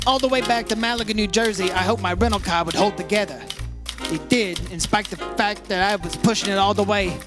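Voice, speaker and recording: A high-pitched male private investigator played by an actor in old-time radio style. He is brash and shrewd, talking in clipped slang like a man who has the case figured out. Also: brisk, over music, close to an everyday voice. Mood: wry narrating.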